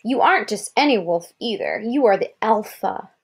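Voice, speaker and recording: A woman's voice: medium pitch, expressive, moderate pace, vocal fry, breathy edge. An American woman reading a children's article aloud like a parent, expressively, with explanatory asides and questions to check understanding.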